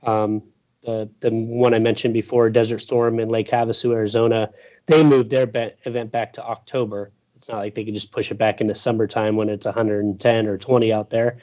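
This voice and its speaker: A man's voice: on the low side, little pitch movement, a little fast, warm tone. Easygoing rambling man, dull lo-fi sound. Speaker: guest, boating news journalist. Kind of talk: casual chat.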